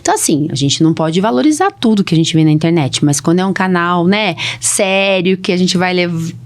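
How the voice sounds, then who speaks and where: A woman's voice: lowish voice, animated, brisk. A woman with a Brazilian Portuguese accent hosting a casual podcast as a physician, explaining things chattily and often checking for agreement with short tag questions.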